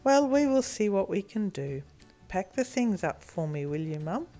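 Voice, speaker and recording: Woman reading as a firm, measured storybook dad. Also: medium pitch, very animated, warm tone, soft background music, natural voice. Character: firm, measured father teaching a lesson. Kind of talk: children's storybook character voice.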